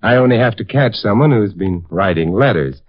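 An actor playing a suave, wry gentleman detective with a dry, polite manner in a 1950s radio drama. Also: fairly low pitch, expressive, brisk, dry recording. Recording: dull lo-fi 1950s radio broadcast.

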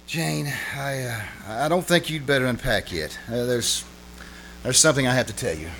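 A man's voice: mid-range voice, normal speed, fairly bright tone, slight background noise, natural voice. A man playing a flustered, pleading husband in old-time radio comedy style, stammering and exasperated. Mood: anxious. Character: flustered, pleading husband locked in a marital squabble.

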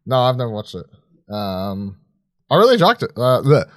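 A man's voice: medium pitch, sing-song, normal speed, no echo, studio quality. A man co-hosting a podcast, giving casual film reviews in a rambling, informal, sweary way. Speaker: podcast co-host reviewing films.

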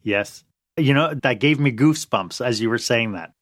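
A man's voice: mid-range voice, moderate pace, a bit bright, no echo. Guy hosting a video interview, mid voice, dry close sound, upbeat. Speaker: interview host. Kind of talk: conversational interview.

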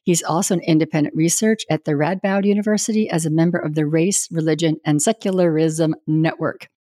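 A woman's voice: deep voice, steady tone, fairly quick, dark tone, no echo, studio quality. A woman who is a reverend, hosting a spiritual podcast and interviewing a guest in an earnest, conversational way. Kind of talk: scripted intro then casual interview. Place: home in Wisconsin, USA.

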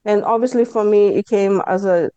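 A woman's voice: medium pitch, moderate pace, smooth, dry recording, dull audio. A woman with a Lithuanian accent, a stress management coach telling her own story in an earnest, candid way on a podcast. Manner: earnest and candid, breaks into lively self-quotes. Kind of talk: personal story in a podcast interview.

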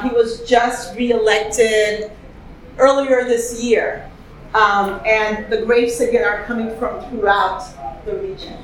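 An enthusiastic woman leads a wine tasting, chatting and asking the group what they notice. Her voice sits a touch high. The room sounds echoey, with a faint hum behind. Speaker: host leading a wine tasting. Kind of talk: casual tasting chat.

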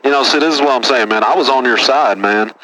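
A man's voice: medium pitch, quick pace, sharp tone, close to an everyday voice, low-quality recording. A man doing a whiny Texan caller. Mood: whiny, indignant. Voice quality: says man after every phrase, whiny. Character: boastful touchy Texas man caller. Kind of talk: comedy radio bit.

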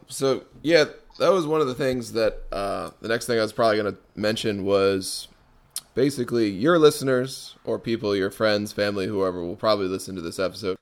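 A man hosting a podcast in a relaxed, casual interview chat, often pausing on ums and restarting his sentences. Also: on the low side. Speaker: podcast host.

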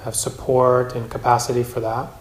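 A man teaching a guided meditation in a measured, gentle way, with long pauses between phrases. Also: mid-range voice, completely flat delivery, slight background noise. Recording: roomy, echoing space.

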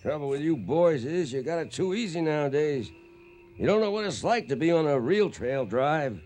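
An actor playing a weather-beaten old trail boss who spins yarns in folksy American Western speech for a radio western. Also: male voice, on the high side, lively intonation, normal speed, a little mellow, slight background noise, natural voice. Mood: gruff, chiding. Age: older. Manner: folksy, rustic cowboy speech. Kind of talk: radio western acting, storytelling narration.

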